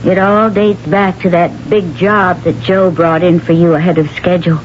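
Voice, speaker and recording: An actress playing a calm, wry former secretary who speaks in a dry, gently pointed way, in a 1970s radio drama. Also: female voice, fairly low pitch, normal speed, natural voice. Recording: dull 1970s radio broadcast with faint hiss.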